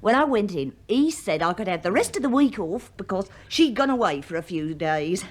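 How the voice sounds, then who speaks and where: A woman's voice: mid-range voice, sing-song, normal speed. A woman playing a nosy, cheeky English cleaning woman in a radio drama, chatting in an indignant, confiding way. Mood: chatty, confiding. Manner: chatty, indignant, conspiratorial gossip. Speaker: actress in a radio play. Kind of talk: British radio drama acting.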